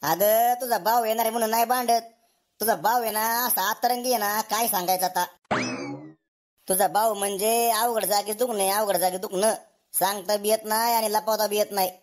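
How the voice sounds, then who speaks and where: A woman's voice: medium pitch, fairly even intonation, normal speed, close to an everyday voice. A fed-up husband in a comedy cartoon, played by a woman. He grumbles and mocks his brother-in-law at every turn, with dry sarcasm and a complaining manner. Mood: exasperated. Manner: mocking, complaining.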